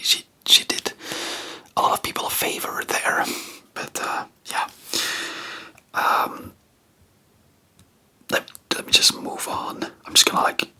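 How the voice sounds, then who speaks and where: A non-native English speaker whispers in a breathy, very bright and sibilant voice. The delivery is very slow, informal and hesitant, like an ASMR host rambling spontaneously through a history chat. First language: not English. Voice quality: soft, breathy, unvoiced whisper. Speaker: ASMR video creator and host.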